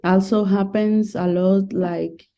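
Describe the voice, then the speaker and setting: A woman's voice: mid-range voice, steady tone, takes it slowly, mellow. A woman from Colombia speaking English with a Colombian Spanish accent, explaining things plainly in a casual conversation. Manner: explains plainly, with simple grammar. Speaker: guest.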